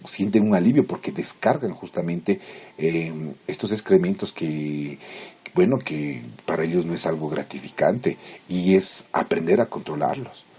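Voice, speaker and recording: A man lecturing in Spanish as a teacher, explaining theory informally in halting, didactic phrases with check-in questions. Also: sits low, warm tone, husky. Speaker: psychology lecturer.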